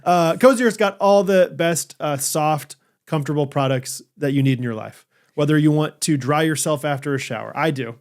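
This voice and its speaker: Joking man, slightly high. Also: normal speed. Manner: joking, animated, laughs often. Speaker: podcast co-host. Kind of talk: casual chat with ad reads.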